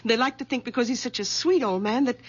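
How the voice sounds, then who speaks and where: An actress playing a worried, caring middle-aged daughter in a 1970s radio drama, speaking gently and pleading. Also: female voice, fairly high pitch, quick pace, slightly rough.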